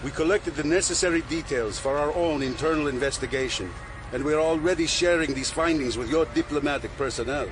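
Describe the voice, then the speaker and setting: Male actor as officious Saudi official, slightly high male voice, curt, formal, audio drama. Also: a little fast, noisy background, lightly acted. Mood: coolly formal. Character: stern, dismissive Saudi oil facility official.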